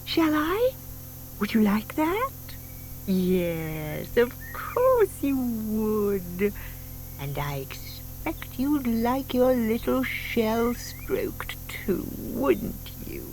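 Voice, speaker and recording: An actress playing a sweet, excitable older British lady who dotes on her pet, cooing and gushing in an audio drama. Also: a little high, drawn-out words, heavily acted, low-quality recording. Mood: doting, cooing. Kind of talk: audio theatre acting. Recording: faint noise, 1990s tape dramatisation.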